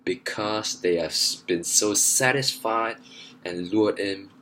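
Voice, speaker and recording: A young man delivers an emphatic monologue, speaking very slowly in a fairly low voice. He hammers points home by repeating phrases, and a faint hiss of background noise runs under his words. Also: pushed and bright, rough recording. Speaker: vlogger. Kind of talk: monologue to camera.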